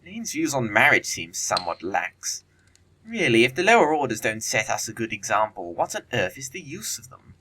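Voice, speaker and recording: An actor playing a flippant, witty young upper-class English bachelor in an audio play, with arch, lofty, affected phrasing. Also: male voice, mid-range voice, big pitch swings, normal speed, bright, forceful tone, husky, exaggerated voice. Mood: dryly lofty. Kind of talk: audio play acting of Victorian comedy of manners.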